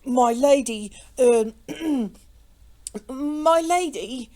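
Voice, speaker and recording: A woman's voice: high voice, very slow, warm tone, pitched above the performer's own voice. Woman doing a flustered, bumbling innkeeper man. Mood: feeble protest. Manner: stammering, fussing. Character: flustered, bumbling male innkeeper, servile and bewildered.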